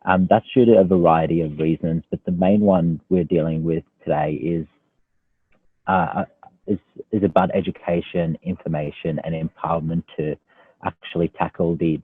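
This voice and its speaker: Low, husky man on a phone-like line. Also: fairly even intonation, moderate pace, dry recording. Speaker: student union welfare officer, panelist. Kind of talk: informal explanatory talk. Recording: phone-like narrow call audio.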